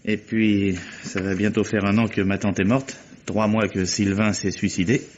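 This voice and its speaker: Radio mystery villain, played by a man: sardonic, scheming nephew, husky delivery, dull old-radio sound. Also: on the low side, flat delivery, moderate pace, natural voice. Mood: guarded, dry. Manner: sardonic, coolly cutting. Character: cynical, calculating murderer nephew taunting his guilty accomplice. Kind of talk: French radio mystery drama acting. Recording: muffled old radio drama recording.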